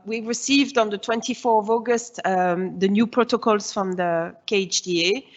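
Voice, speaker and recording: A woman in her forties from Switzerland, the head of early years at a school, giving a presentation to parents. She explains things in a reassuring way and often pauses on "uh" and "um". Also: medium pitch, muffled recording.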